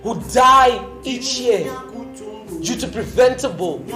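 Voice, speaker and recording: A woman performing a theatrical spoken-word monologue as a solemn, impassioned narrator, with deliberate dramatic phrasing. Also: on the high side, animated. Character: solemn, impassioned young woman narrator decrying youth suffering. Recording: echoey stage hall, music underneath. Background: music.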